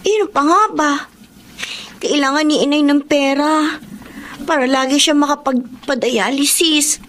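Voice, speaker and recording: An actress playing a dutiful, caring young student daughter in a Filipino radio drama, respectful, earnest and worried. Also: female voice, sits high, steady tone, unhurried, fairly bright tone. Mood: earnest, resolved. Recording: faintly noisy radio drama recording.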